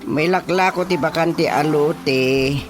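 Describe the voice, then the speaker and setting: An actor playing a practical village chairman with a Filipino accent, explaining things in a measured, matter-of-fact way in a radio drama. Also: fairly high pitch. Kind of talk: Ilocano radio drama acting. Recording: radio drama with music underneath.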